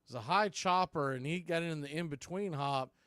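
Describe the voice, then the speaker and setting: Clear-voiced man calling baseball play-by-play. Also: on the high side, moderate pace, a bit bright, no echo, studio quality. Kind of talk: live play-by-play sports commentary. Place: indoors, calling the game from a video feed.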